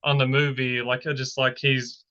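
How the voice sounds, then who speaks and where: A man's voice: mid-range voice, flat delivery, moderate pace, bright, forceful tone. A man hosting a podcast in casual chat, rambling with many filler words. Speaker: podcast host. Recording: dull lo-fi audio.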